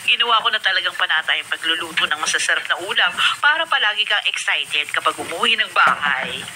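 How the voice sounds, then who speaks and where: An actress playing a doting, excitable middle-aged mother in a Filipino radio drama, warm, fussing and exclamatory. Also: on the low side, quick pace. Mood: affectionate, admiring. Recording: noisy radio broadcast.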